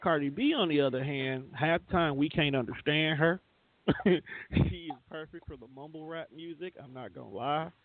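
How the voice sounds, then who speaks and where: A man's voice: a little high, normal speed. An American man co-hosting a casual radio chat, giving blunt, joking opinions in slangy speech. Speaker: radio show co-host. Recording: thin phone-like band-limited audio.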